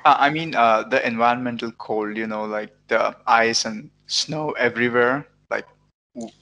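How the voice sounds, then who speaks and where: A man's voice: medium pitch, moderate pace, sharp tone. A man in his twenties hosting a podcast, asking casual interview questions into a close microphone. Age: 20s. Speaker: podcast host. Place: indoor room. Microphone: close studio mic on boom arm, headphones.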